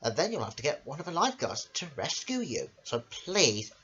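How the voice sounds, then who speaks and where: A woman's voice: sits low, big pitch swings, moderate pace, fairly bright tone. A woman reading a children's story aloud in a theatrical, emphatic way, sometimes stumbling over words, on a home recording. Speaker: storyteller on own channel. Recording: muffled, hissy home recording.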